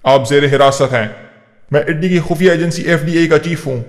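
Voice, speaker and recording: A man's voice: on the high side, fairly even intonation, fairly quick, lightly acted. A man playing a stern, threatening intelligence chief in an audio drama, speaking formally and with menace. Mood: stern, menacing. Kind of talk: Urdu audio drama acting. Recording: reverberant hall sound.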